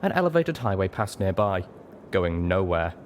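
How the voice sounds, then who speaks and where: A man with a low voice narrates a first-person story. He reads from a script as an audiobook narrator, and a faint ambient noise sits behind his voice. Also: big pitch swings, normal speed. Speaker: audiobook reader.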